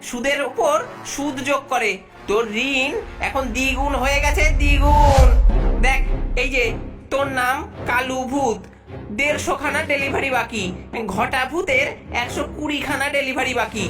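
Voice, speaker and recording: A woman's voice: high-pitched, fairly even intonation, moderate pace, music in the background, lightly acted. A woman voicing a greedy, scheming male ghost boss in a cartoon, with a domineering, scolding and gloating manner. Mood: scolding, bossy. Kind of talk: cartoon voice acting.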